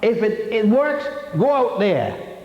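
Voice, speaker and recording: A man's voice: high voice, very animated, takes it slowly, creaky, darkish tone. Older man lecturing in an echoey hall. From Ethiopia. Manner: blunt, defiant, rambling with many restarts. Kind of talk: public history lecture. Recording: echoey hall, dull lo-fi sound.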